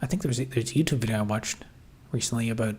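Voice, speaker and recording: A man's voice: medium pitch, quick pace, a little mellow, slightly rough. A man hosting a casual livestream, reading chat and musing aloud with lots of fillers and restarts. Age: adult, grew up watching 1990s TV.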